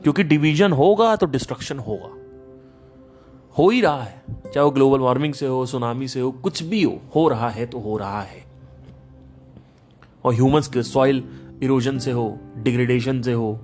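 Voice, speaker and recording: A man of middle pitch talks through a podcast on spiritual ideas, emphatic and animated as he tells stories. Faint guitar music sits under his voice. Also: normal speed, long pauses. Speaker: podcast host. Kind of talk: casual spiritual talk.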